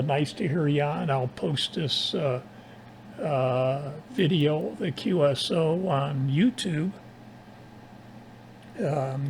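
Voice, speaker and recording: Tired, gravelly-voiced man on ham radio. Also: a little high, a little mellow, slight background noise. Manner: halting, pausing often mid-sentence. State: tired, strained voice after nearly losing it. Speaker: amateur radio operator. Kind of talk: casual ham radio conversation.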